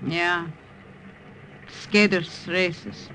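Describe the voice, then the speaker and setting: A woman's voice: on the low side, steady tone, very slow, bright, forceful tone, exaggerated voice. A woman acting a weary, fearful middle-aged Dutch aunt in an old wartime radio drama, speaking sorrowfully. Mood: despairing. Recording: noisy old-time radio recording.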